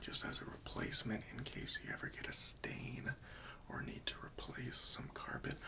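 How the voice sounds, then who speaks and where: Guy doing a friendly patient helper in an asmr roleplay, whispery n crackly, real slow coaxing. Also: mid-range voice, gravelly, heavily acted. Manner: soft, coaxing, patient, questioning, reassuring. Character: gentle, patient, friendly male helper for hoarders.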